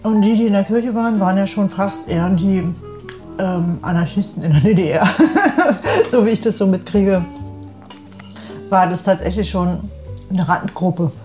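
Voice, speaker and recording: A woman's voice: mid-range voice, normal speed, mellow, faint music behind. A middle-aged woman from the former East Germany, talking casually and reminiscing as an interviewed friend. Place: kitchen.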